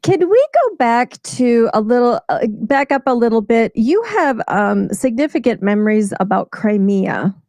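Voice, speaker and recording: A woman hosting a casual livestream interview, chatty and halting, with frequent fillers and little laughs. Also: on the high side, very animated, darkish tone, dry recording. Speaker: host.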